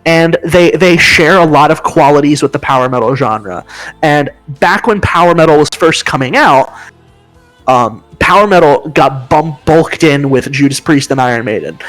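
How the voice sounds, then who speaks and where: A man with a high voice co-hosting a casual podcast chat, explaining with enthusiasm and humming riff sounds. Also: lively intonation, moderate pace, a bit bright, soft background music, poor audio. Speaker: podcast co-host.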